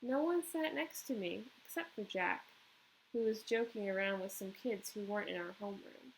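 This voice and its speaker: A woman's voice: mid-range voice, normal speed, slight background noise, low-quality recording. A woman teacher reading a children's book aloud and explaining it to her pupils.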